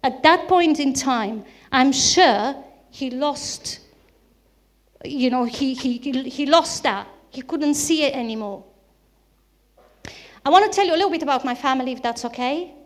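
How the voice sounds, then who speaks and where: A woman's voice: high-pitched, a little slow. A woman from Bulgaria, a native Bulgarian speaker with a Bulgarian accent, preaching a sermon in an earnest style with repeated emphatic phrases.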